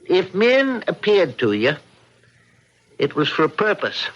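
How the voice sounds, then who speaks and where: An actor playing a concerned, cautious husband in an old-time radio mystery, deliberate and insistent. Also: male voice, sits high, big pitch swings, exaggerated voice. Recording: dull, band-limited radio drama recording.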